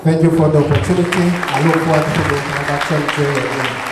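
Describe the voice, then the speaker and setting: A man's voice: a little high, fairly even intonation, fast, over loud music, over a noisy crowd. A man with a Ugandan accent giving an earnest keynote speech at a fundraising gala, building his points through repeated phrases. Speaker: keynote speaker. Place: banquet hall. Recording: echoey hall with long reverb.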